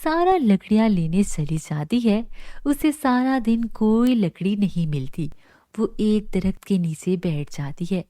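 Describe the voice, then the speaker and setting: A woman narrates a scripted children's story. She reads at a slow, deliberate pace. Her voice is mellow and fairly high, captured in a dry recording. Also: animated, no room sound.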